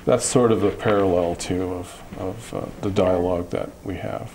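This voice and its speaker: A man with a very deep voice talks through his ideas slowly and thoughtfully, often pausing and restarting his sentences. A faint hiss sits under the recording. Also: fairly even intonation, a little mellow, rough recording. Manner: reflective, halting, many restarts and fillers. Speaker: guest artist. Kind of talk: interview conversation.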